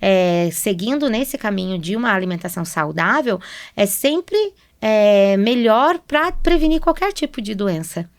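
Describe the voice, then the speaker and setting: A woman's voice: medium pitch, expressive, unhurried, clear, resonant tone. A Brazilian woman speaking as a guest nutritionist on a podcast, explaining things conversationally and often adding small check-in tags. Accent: Brazilian Portuguese.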